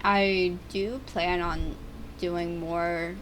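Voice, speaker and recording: A teenage girl chatting and stammering through gameplay commentary on her own channel, with a voice she calls a little deeper and rougher over time. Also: level tone, very slow, a bit bright, a little hiss or hum, rough recording. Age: teens. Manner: chatty, stammering, restarts words. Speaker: gaming YouTuber.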